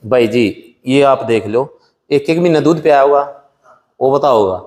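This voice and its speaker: A man's voice: mid-range voice, a little slow, darkish tone, long reverb tail. A man with a Haryanvi accent, a dairy farmer giving practical advice in a plain-spoken, colloquial way in a casual interview. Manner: plain-spoken, colloquial, often addresses listener as brother. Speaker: dairy farmer and buffalo breeder.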